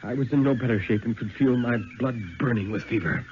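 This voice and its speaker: A man playing a steely, haunted lighthouse keeper who recounts his ordeal with dramatic, measured urgency in a 1950s radio reading. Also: medium pitch, heavy background noise, lightly acted. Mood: tense. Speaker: story reader. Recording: dull vintage radio recording.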